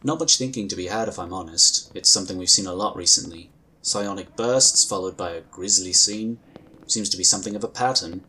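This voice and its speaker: An actor playing a calm, courteous psionic inquisitor in a sci-fi audio drama, with measured, formal phrasing. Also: male voice, sits low, slight background noise, poor audio. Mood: thoughtful, reasoning.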